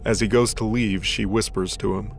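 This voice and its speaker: A man's voice: lowish voice, steady tone, normal speed, gravelly, soft background music. A man narrating a scripted dramatic story as its narrator.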